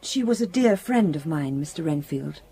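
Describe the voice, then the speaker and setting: A woman playing a kind, brave young married gentlewoman, polite and well-spoken, in an audio drama. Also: deep voice, expressive, moderate pace, husky, exaggerated voice. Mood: composed, firm. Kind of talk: British radio drama acting.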